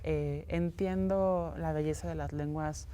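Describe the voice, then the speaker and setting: A woman's voice: low voice, fairly even intonation, measured pace, dark tone, slight background noise. A middle-aged woman, an academic guest on a studio interview show, speaking thoughtfully with frequent fillers and tag questions. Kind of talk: conversational interview. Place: television studio.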